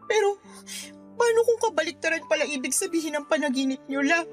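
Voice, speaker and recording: A woman's voice: sits high, lively intonation, fast talker. A woman playing a devoted, hurt young granddaughter in a radio drama, pleading and emotional. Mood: hurt, bitter. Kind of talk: Tagalog radio drama acting. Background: background music.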